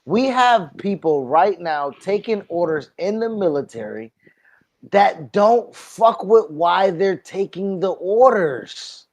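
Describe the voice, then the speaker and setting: A man co-hosts a casual podcast conversation, his voice a little high for a man. He talks haltingly, restarting phrases and repeating words as he works out what to say. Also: lively intonation. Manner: halting, repeats himself while searching for words. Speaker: podcast co-host. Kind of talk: casual chat.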